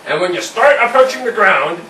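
A man's voice: high-pitched, lively intonation, brisk, sharp tone, far from the mic. A man voicing a pushy pig shopkeeper with a chuckling salesman's patter, in an amateur home puppet show. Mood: scheming. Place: indoor room with a puppet stage. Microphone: far camera. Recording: roomy noisy home video from afar.

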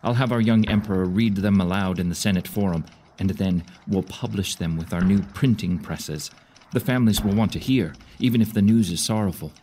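A man acting as a commanding Roman general in a full-cast audio drama. His voice is very deep, with a genial, fatherly authority and wry formality. Faint noise runs underneath. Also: fairly quick, warm tone, lightly acted.

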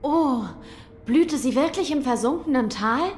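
A woman as a high-voiced young lord. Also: expressive, measured pace, a little mellow, a little hiss or hum. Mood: curious, grateful. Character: gentle, thoughtful young noble boy, master. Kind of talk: German audio drama acting.

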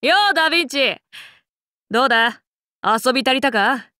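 An actress voicing a brash, tomboyish young warrior woman in an anime game, gruff but caring and blunt in speech. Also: high voice, normal speed, pushed and bright, no room sound, studio quality. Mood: gruff, casual. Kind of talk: anime game character lines.

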